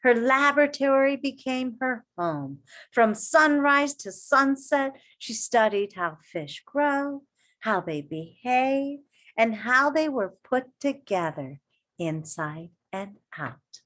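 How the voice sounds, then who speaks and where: A woman reading a children's story aloud like an elementary teacher, expressive and deliberate, pausing to put questions and encouraging remarks directly to young listeners. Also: sits high.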